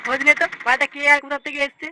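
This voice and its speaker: Performer doing a bossy cartoon gang boss, pitched-up voice, very sharp and bright, crude slangy swearing, faint noise. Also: level tone, rapid-fire.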